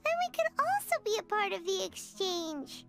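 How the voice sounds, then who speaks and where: A woman voicing a tiny, childlike, chatty fairy companion in a video game, with squeaky, puzzled chatter. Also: sing-song, normal speed, vocal fry, heavily acted. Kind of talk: cartoonish video game dialogue. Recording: loud music behind the voice.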